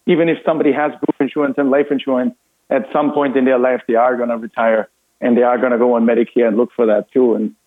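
A man in his thirties from Austria with an Austrian German accent, a radio guest talking earnestly with a lot of fillers. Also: mid-range voice. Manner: earnest, relaxed, many fillers. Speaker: insurance broker, radio guest. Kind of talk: phone-in radio interview. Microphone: phone. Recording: tinny phone line.

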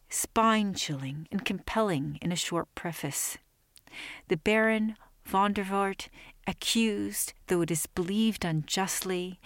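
A woman narrates a scripted literary piece with composed, careful phrasing. Her voice sits slightly low, and the recording is dry and close, with no room sound. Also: dry recording. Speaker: reader, narrator.

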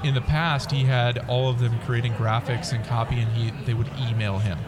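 A man's voice: mid-range voice, level tone, fairly quick, background noise. A man being interviewed as a company representative, explaining his product in a conversational way. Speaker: interview guest, company representative pitching school software.

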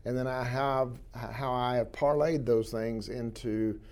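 A man's voice: mid-range voice, fairly even intonation, measured pace, a little mellow. A man, a guest telling stories about his life in a rambling way with many fillers. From southwestern Oklahoma. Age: 60s. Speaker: interview guest, wildlife biologist. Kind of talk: relaxed storytelling interview.

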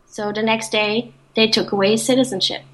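A woman's voice: fairly high pitch, steady tone, fairly quick, rough recording. A woman from Austria with an Austrian accent, a guest on a podcast, chatting casually and asking questions. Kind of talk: casual chat.

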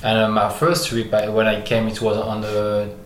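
A man from Algeria who grew up in France, speaking English as a second language with a low, flat voice. He talks haltingly, restarting and reaching for words. The room is echoey, with a faint hiss behind him. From Algeria, raised in France. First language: French. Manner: many pauses and restarts, searching for English words. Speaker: artist guest. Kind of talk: halting interview answers.

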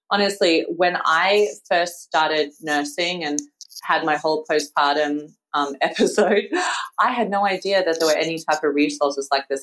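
Low-voiced upbeat woman host. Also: rough recording. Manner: upbeat, laughs often. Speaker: podcast host. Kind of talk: casual podcast interview.

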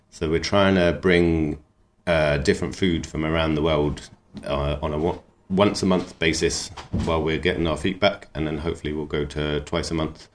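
A man's voice: rumbling low, slight background noise. A man, talking in an easygoing, rambling and enthusiastic way as an interview guest about his craft and cooking. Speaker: knifemaker and cook. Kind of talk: relaxed interview talk.

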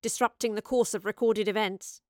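A woman's voice: mid-range voice, steady tone, moderate pace, darkish tone, dry recording, studio quality, close to an everyday voice. A woman voicing a weary but firm female officer in a story, serious, urgent and plain-spoken. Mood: grave accusation.

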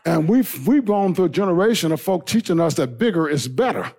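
A man preaching as pastor, building into a shouting, half-sung whooping cadence and then dropping to a quieter storytelling tone. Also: animated, fairly quick, breathy edge. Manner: drops to low conversational storytelling. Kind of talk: sermon.

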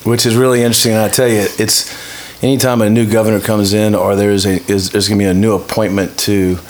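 Lower-voiced man hosting an interview. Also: little pitch movement, a little hiss or hum. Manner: wordy, halting, repeats words. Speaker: host interviewing a guest. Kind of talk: conversational interview.

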